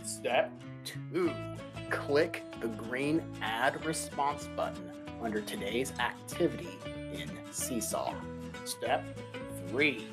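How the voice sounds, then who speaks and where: A man teaching a remote music lesson to young children, upbeat and encouraging, singing short demo notes. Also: mid-range voice.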